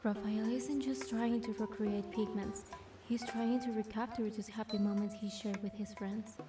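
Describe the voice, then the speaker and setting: A woman playing a playful young heroine in a game, narrating softly in the first person. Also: mid-range voice, little pitch movement, normal speed, natural voice. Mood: calm narrating. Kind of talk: scripted first-person narration with character dialogue. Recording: studio voice over clear background music. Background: music.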